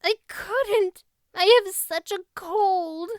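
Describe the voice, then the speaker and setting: A woman's voice: very high-pitched, takes it slowly, no room sound, clean studio sound, voice pushed up. A woman voicing a melodramatic, anguished, talkative young girl in a storybook, with a wailing, overwrought manner. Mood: sobbing despair.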